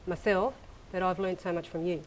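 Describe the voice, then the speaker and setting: Aussie woman, middle-aged, chatting w her dad, soft um-filled prompts, bit of hiss behind. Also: lowish voice, steady tone, normal speed, poor audio. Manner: gentle, prompting, with many fillers. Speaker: interviewer. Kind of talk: casual interview.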